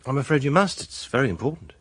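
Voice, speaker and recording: An actor playing a suave, self-assured barrister in a radio drama, courteous, urbane and probing. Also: male voice, medium pitch, very animated, fast talker, a bit bright, husky. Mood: probing, measured.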